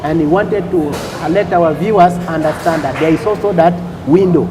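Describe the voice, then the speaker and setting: A man from Uganda, a customs official on a talk show, explaining rules deliberately and repeating points with "okay?" checks. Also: fairly high pitch, noisy background.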